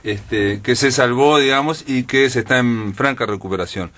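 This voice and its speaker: A man's voice: medium pitch, normal speed, fairly bright tone. Uruguayan man, radio host, chatty and friendly, mid-range voice, studio. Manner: chatty and friendly, with many fillers and backchannels. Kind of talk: radio interview.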